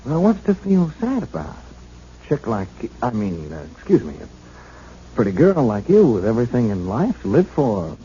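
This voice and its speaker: Very mellow, flustered American policeman. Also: mid-range voice, wildly swooping pitch, normal speed. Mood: kindly, flustered. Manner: stammering, hesitant, folksy, colloquial. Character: awkward, kindly, unschooled policeman, easily flustered. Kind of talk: 1970s radio drama acting. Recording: muffled, hissy vintage radio broadcast.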